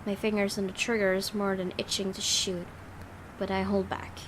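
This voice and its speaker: A woman's voice: medium pitch, flat delivery, moderate pace, background noise. A woman reading a visual novel aloud as first-person dramatic narration. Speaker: gaming video host reading aloud. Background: game music.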